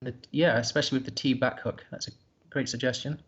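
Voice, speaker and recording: A man's voice: mid-range voice, fairly even intonation, fast talker, a bit bright, dull audio. A man commentating a live board game, thinking aloud in a hesitant, musing way.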